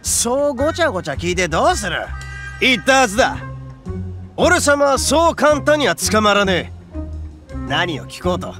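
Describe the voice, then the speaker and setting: A swaggering horned oni gang boss. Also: male voice, high-pitched, sing-song, normal speed, pauses often, bright, forceful tone, heavily acted. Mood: cocky. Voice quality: rough, swaggering, calls himself grandly. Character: brash boastful horned oni gang boss, male adult. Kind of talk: Japanese game voice acting. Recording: game audio with faint music underneath.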